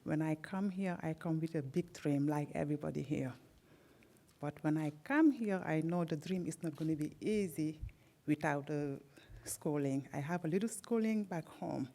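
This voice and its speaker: Woman, Senegalese accent, Wolof native speaker, student speech, heartfelt, low-pitched. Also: normal speed, warm tone. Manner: heartfelt, simple non-native English, laughs between phrases. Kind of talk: nervous graduation speech. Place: event hall at a library graduation.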